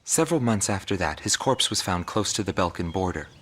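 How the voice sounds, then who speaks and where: An actor playing a serious investigative narrator, delivering measured, grave documentary narration for a video game. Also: male voice, deep voice, animated, a little hiss or hum. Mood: grave, factual. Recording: loud guitar music behind the voice. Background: loud guitar music.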